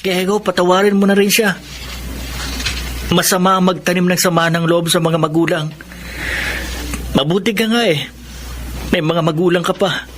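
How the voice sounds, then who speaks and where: A man's voice: high-pitched, steady tone, normal speed, pauses often, a bit bright. An actor playing an earnest, grateful young Filipino man in a radio drama. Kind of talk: Tagalog radio drama acting. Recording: noisy ambience behind the voice.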